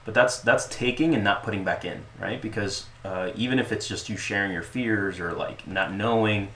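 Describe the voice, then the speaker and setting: Man, interview guest, casual, joking, restarts a lot, lowish voice, echoey room. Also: normal speed. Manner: relaxed, joking, frequent restarts and fillers. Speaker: interview guest, club founder. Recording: echoey room with faint noise.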